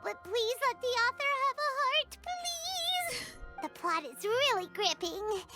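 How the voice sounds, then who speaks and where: Squeaky tiny fairy companion. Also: female voice, sing-song, slow, full character voice. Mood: dramatic, emotional. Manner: squeaky, exclamatory, cute. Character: tiny childlike fairy companion, chirpy, talks in third person. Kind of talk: anime-style video game voice acting. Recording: game music playing behind.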